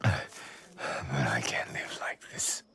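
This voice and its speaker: A man playing a dying, tortured monk in a game drama, weak and pained, pleading in halting, breathy phrases. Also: bright, forceful tone. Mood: anguished, weak. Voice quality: breathy, whispery, very husky. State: weak and in pain. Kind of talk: dramatic video game cutscene acting.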